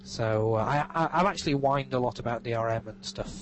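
A man's voice: mid-range voice, a little fast, slight background noise, low-quality recording. An English man in casual conversation as a guest, rambling and self-mocking, full of restarts. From England. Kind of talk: casual interview chat. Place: living in California, recorded remotely.

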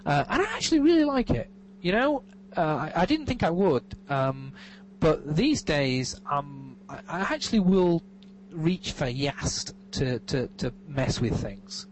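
A man's voice: on the high side, sing-song, measured pace, a little hiss or hum, poor audio. An English man in casual conversation as a guest, rambling and self-mocking, full of restarts. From England. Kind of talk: casual interview chat. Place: living in California, recorded remotely.

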